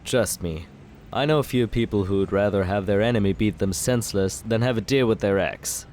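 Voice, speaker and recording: A man in an ASMR roleplay as a villain, talking smoothly and persuasively in a teasing, flirtatious way. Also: fairly low pitch, moderate pace, a little mellow, slight background noise.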